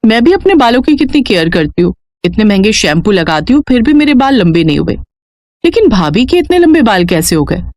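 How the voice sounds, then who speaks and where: A woman voicing a suspicious, scheming young sister-in-law in a storybook tale, excitable and nagging. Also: fairly high pitch, no echo, clean studio sound. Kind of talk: Hindi moral storybook character voice.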